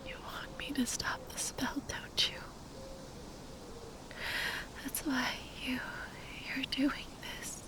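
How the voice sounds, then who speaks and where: Woman playing a heartsick magic girlfriend, whispery and breathy, high voice, tender, slow and halting, asmr drama. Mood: weak, drowsy, confused. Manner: halting, tender, sighing delivery. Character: grieving, tender woman spellcaster, devoted ex-girlfriend. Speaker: ASMR roleplay voice actor.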